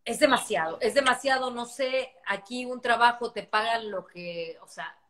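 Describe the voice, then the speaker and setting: A woman's voice: fairly high pitch, moderate pace. A woman in her thirties with a Mexican accent, talking earnestly in a casual video call from her living room and gesturing with her hands. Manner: earnest, animated hand gestures, many restarts. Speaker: actress hosting an Instagram live. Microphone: phone on a video call.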